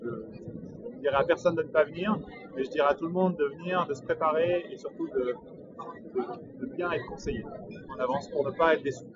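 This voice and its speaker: A man in his twenties from Brittany, an entrepreneur giving measured advice in an interview, with frequent hesitations. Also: on the high side. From Brittany, France. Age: 20s. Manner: measured and advisory, many hesitations. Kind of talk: interview monologue. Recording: distant, muffled mic with loud background noise.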